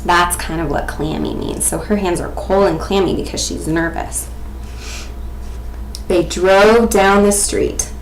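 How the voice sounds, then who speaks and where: Young woman teacher doing a read aloud, unhurried, expressive, asks questions, echoey room. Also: on the low side. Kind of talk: interactive picture-book reading and explanation for children. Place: small domestic room indoors. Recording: echoey room, faint background noise.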